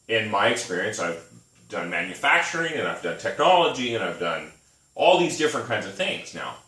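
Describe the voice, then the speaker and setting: Bright-voiced man in his thirties, echoey room. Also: mid-range voice. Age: 30s. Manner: rambling storyteller, laughs often. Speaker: podcast co-host. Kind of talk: casual chat. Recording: echoey room, mic at a distance.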